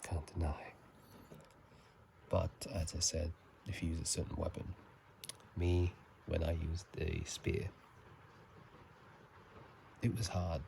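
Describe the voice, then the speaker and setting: A man speaking in a soft ASMR whisper and murmur, casual and halting, recorded on a phone microphone. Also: very deep voice, flat delivery, vocal fry. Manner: halting, casual, self-correcting. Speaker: channel host. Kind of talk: whispered ASMR game commentary. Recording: faint noise, phone microphone.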